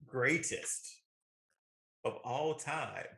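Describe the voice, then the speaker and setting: American man, 30s, movie show co-host. Bright, punchy voice, emphatic opinions, casual and enthusiastic delivery. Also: mid-range voice, lively intonation, extremely slow, vocal fry.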